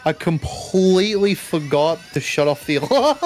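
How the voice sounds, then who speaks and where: Streamer from australia, playful, lively pitch swings, hums along, faint game music. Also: moderate pace. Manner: playful, hums and makes sound effects while playing. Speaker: streamer and player. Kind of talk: casual gameplay chat.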